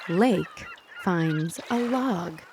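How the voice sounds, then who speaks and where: A woman hosting a children's read-aloud, narrating and teaching early reading in simple, deliberate wording. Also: lowish voice, animated, noisy background. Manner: simple, deliberate wording, instructing young readers. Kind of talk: slow phonics storybook read-aloud for young children.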